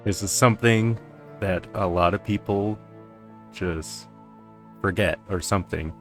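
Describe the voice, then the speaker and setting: A soft-spoken game streamer giving casual, musing commentary in a quiet voice. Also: deep voice, measured pace. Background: faint game music.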